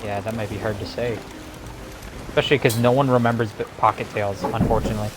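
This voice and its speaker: A man co-hosting a game stream, chatting and explaining in a casual, somewhat halting way. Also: fairly low pitch, steady tone, background noise. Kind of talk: casual game commentary and chat.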